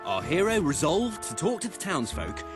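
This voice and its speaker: An actor playing a pompous game master, narrating a fantasy quest in a theatrical, grandiose way for a video game. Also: male voice, fairly high pitch, huge pitch swings, normal speed. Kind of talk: video game cutscene acting. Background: music.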